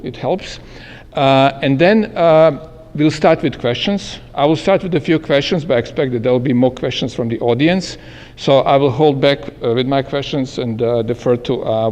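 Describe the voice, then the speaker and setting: A man moderating a panel at a live event, speaking haltingly with many filler sounds. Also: medium pitch, a little mellow. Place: meeting room at an event. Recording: echoey hall with long reverb.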